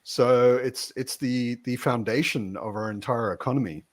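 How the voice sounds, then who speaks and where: A man with a medium-pitched voice talks in a casual book discussion. He explains his ideas step by step in a conversational way, often checking in with "you know.". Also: normal speed.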